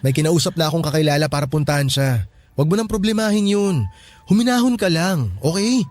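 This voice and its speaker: An actor playing a calm, reassuring young male hospital worker in a radio drama, speaking soothingly and earnestly. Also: a little high, fairly quick, dry recording, close to an everyday voice. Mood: gently reassuring.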